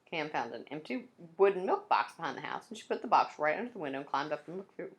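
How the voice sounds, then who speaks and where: A woman's voice: low-pitched, big pitch swings, fast. A woman teacher reading a children's chapter book aloud, adding friendly asides to her young listeners. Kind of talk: children's read-aloud narration.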